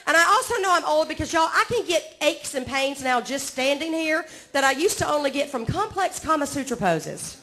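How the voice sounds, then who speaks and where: A woman's voice: high voice, big pitch swings, moderate pace, few pauses, sharp tone. A woman in her forties from Georgia with a Southern accent, doing a self-mocking stand-up set on a comedy club stage and chatting with the crowd. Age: 40s.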